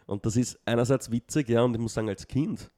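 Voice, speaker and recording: A man's voice: mid-range voice, fast talker, warm tone. A man co-hosting a casual podcast chat, hesitant, repeating words and saying "ja" a lot. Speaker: podcast co-host.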